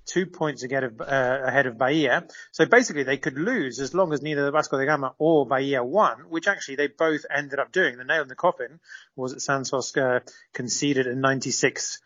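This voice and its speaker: A man's voice: mid-range voice, brisk, a bit bright, lo-fi sound. A man serving as a correspondent in a live radio interview, explaining at length with frequent fillers and restarts.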